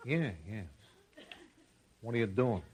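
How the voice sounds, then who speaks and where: An actor in a 1950s radio comedy playing a furtive racetrack tout who passes on tips in clipped, confidential slang. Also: male voice, mid-range voice, slow pace, a little mellow, close to an everyday voice. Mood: sly, confidential. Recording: noisy old 1950s radio recording.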